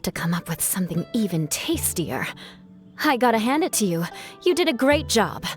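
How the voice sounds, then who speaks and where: A woman's voice: mid-range voice, big pitch swings, moderate pace, soft background music, close to an everyday voice. A woman playing a wry, self-assured baker. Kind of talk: video game cutscene voice acting.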